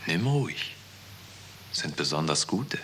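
An actor playing a cynical, unruffled office clerk in a 1960s German radio drama, sardonic and insinuating. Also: male voice, a bass voice, sing-song, slow pace, bright, forceful tone, heavily acted. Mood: casual, insinuating. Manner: sardonic, insinuating, coolly didactic. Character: cynical, unruffled male clerk of a murderous bureaucracy. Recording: faintly hissy 1960s radio recording.

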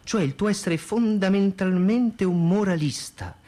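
A man reading a letter aloud for radio theatre in an expressive, theatrical way. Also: high voice, unhurried. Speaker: radio reader.

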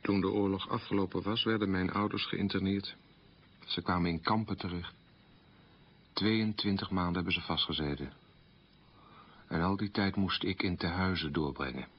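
Troubled middle aged guy, low kinda husky voice, sober careful radio monologue, muffled lo-fi sound. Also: fairly even intonation. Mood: sombre. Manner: measured, articulate, sober. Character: troubled man burdened by his family's collaborationist past. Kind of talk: radio documentary-drama monologue, confessional. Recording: dull, lo-fi radio recording.

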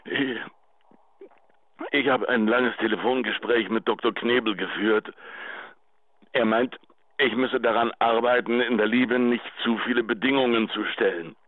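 An actor playing a remorseful, clingy husband who stammers through a pleading answering machine message in a radio drama. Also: male voice, mid-range voice, fairly even intonation, no room sound. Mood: pleading, tender. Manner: halting, stammering. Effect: telephone answering machine filter. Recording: tinny narrow phone-line sound.

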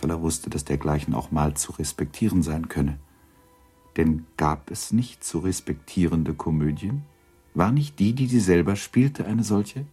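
A man narrating a literary radio drama from a script, with a husky voice. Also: low-pitched, mellow.

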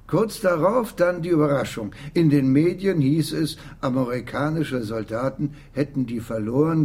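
An actor playing a wary, bitter archaeology professor in a radio drama, husky, measured and formal. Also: male voice, mid-range voice, darkish tone.

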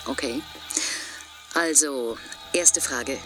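A woman playing a polite, probing interviewer in a German radio play, with measured questions. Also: rumbling low, heavily acted, low-quality recording. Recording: music behind the voice.

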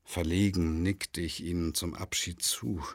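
A man's voice: low voice, steady tone, a little slow. An actor in a German radio play, playing a fussy, long-winded travelling lecturer who keeps interrupting himself as he recounts his story. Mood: wry storytelling.

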